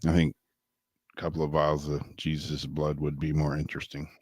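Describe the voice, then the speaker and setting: Very deep-voiced man chatting wryly. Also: completely flat delivery, moderate pace, darkish tone, husky, dry recording. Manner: chatty, wry, laughs between stories. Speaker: co-host. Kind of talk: casual vlog chat reading news aloud.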